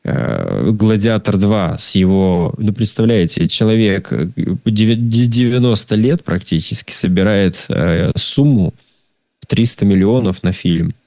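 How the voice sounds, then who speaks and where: Casual male film-talk guest, lower-pitched, dry close sound, rambling and halting delivery. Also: animated, gravelly, mellow, no room sound. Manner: halting, rambling, repeats words. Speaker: film discussion participant. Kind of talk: casual conversational film review.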